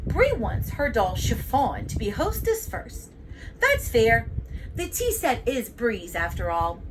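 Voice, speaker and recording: A woman reading a children's storybook aloud in an expressive, deliberate, teacherly way. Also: a little high, noisy background.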